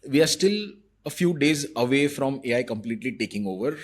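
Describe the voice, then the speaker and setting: A man's voice: mid-range voice, animated, brisk. Thoughtful man explaining at an easy pace. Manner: thoughtful, explanatory, frequent fillers like "you know". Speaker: marketing executive guest. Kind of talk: conversational interview answers.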